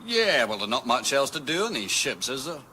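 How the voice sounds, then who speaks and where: A man's voice: medium pitch, very animated, moderate pace, pushed and bright, slight background noise. An actor playing a chatty, working-class space freighter crewman who gripes away in a radio comedy. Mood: grumbling. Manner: talkative, griping, rhetorical tag questions.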